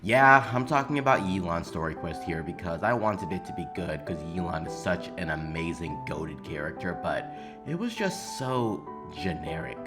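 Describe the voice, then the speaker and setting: A man delivering opinionated video essay commentary on games in an emphatic, informal way. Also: deep voice. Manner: emphatic, informal, occasional swearing. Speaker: video game commentary creator.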